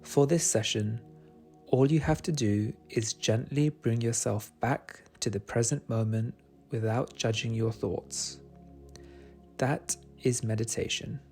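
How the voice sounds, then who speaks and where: A man guiding a meditation in a soothing, gentle and unhurried manner. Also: mid-range voice. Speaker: meditation guide. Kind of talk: guided meditation narration. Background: soft meditation music.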